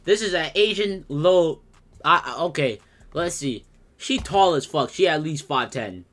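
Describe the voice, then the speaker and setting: A man with a bright, slightly high voice rambles through a reaction video. His asides are blunt and full of swearing, and he talks loosely and off the cuff. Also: expressive, measured pace. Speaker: reaction streamer. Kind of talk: casual reaction commentary.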